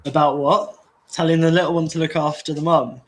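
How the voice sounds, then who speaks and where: A man with a stuffy, nasal voice from an infection, hosting a casual online chat and rambling with many pauses and restarts. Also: fairly high pitch, flat delivery. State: nasal from a sinus and tooth infection.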